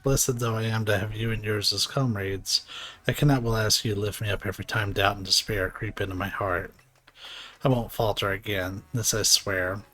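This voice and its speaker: An earnest, resolute young warrior. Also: male voice, on the low side, steady tone, normal speed, pushed and bright. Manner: earnest and heartfelt. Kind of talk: video game voice acting.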